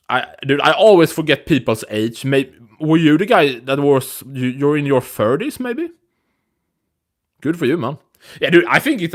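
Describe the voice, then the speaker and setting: A man in his twenties hosting a casual live stream, talking to viewers in a rambling way with many restarts and fillers, into a phone. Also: mid-range voice. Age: 20s. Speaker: livestream host.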